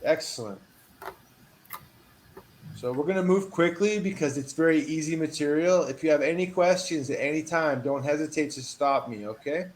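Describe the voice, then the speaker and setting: A man teaching a one-on-one English lesson over a video call, patient and encouraging, reading model phrases with lively emphasis. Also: on the high side, moderate pace, a little hiss or hum. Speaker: English tutor.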